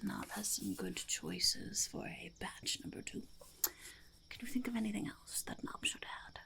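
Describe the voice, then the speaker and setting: A woman voicing a blunt, scheming female goblin entrepreneur in a soft, creaky ASMR roleplay, often slipping into a whisper. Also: on the low side, exaggerated voice. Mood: pleased, approving. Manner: third-person self-reference, mock-grand, haggling. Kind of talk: ASMR roleplay, softly spoken to the listener.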